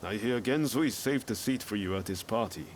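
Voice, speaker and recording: A man acts out an easygoing young samurai from a video game, principled but casual. His voice sits fairly low and his phrasing is laid-back, with some ambient noise behind it. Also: normal speed, lightly acted. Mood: troubled, musing. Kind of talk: video game cutscene acting.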